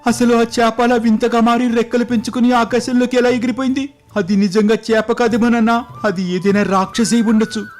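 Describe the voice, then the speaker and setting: A man voicing an eager, impatient young prince, earnest and excitable, in a storybook tale. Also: very high-pitched, fairly quick, few pauses, soft background music, voice pushed up. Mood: frightened, amazed. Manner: earnest, excitable, respectful to father. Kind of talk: scripted moral story dialogue.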